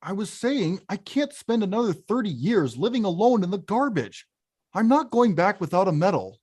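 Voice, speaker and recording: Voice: mid-range voice, sing-song, moderate pace, a little mellow, breathy edge. An actor plays a big, stubborn bad guy from a cartoon about video games. The voice swings widely as he blusters with frustration. He is emphatic and emotional, hungry for respect. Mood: earnest, determined. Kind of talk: animated film script table read.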